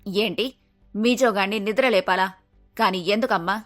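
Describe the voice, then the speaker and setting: A woman's voice: medium pitch, normal speed, a bit bright, no echo, soft background music, lightly acted. A woman playing a vain, touchy middle-aged mother-in-law in a cartoon moral story, querulous and full of questions. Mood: puzzled, conspiratorial.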